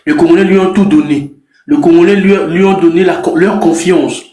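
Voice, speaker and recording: A man's voice: fairly high pitch, steady tone, a little fast, a little mellow. A man on an online panel making his point insistently and repeating it. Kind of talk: online debate. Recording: echoey room.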